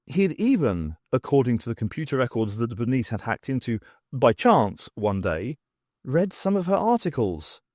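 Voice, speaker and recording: A man's voice: medium pitch, very animated, moderate pace, darkish tone, no room sound, studio quality. A man narrating scripted fiction as an audiobook reader.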